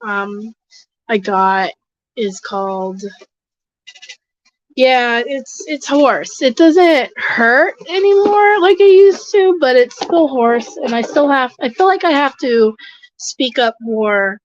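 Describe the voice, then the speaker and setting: A hoarse, congested woman hosting a livestream, rambling casually with lots of fillers and restarts. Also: high voice, slow, dull audio. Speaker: host of an advocacy livestream. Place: home desk.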